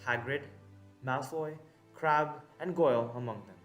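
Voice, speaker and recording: A man narrating a scripted audio drama in a measured, dramatic way with deliberate pauses. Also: medium pitch, fairly bright tone, soft background music.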